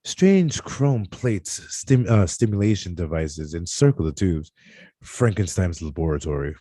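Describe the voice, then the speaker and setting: A man's voice: low-pitched, expressive, measured pace, mellow, husky, no room sound, studio quality. A man reading screenplay stage directions aloud, sometimes stumbling over a word and correcting himself. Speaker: reader of stage directions at a script table read. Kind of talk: scripted narration read aloud live.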